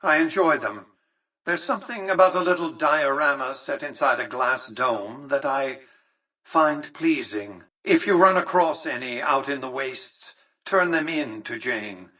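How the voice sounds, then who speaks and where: A man's voice: medium pitch, expressive, measured pace, bright, forceful tone, distant mic. An actor playing an imperious, calculating older male tycoon in a video game, speaking in a formal, precise and patronizing way. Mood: measured. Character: imperious, calculating elderly male tycoon, coolly visionary mastermind. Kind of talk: scripted video game character dialogue.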